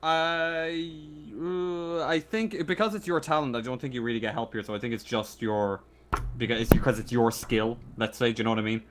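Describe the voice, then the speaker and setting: A man running a tabletop game as game master in a casual way, with hesitant, halting explanations. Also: medium pitch, fairly even intonation, a little hiss or hum.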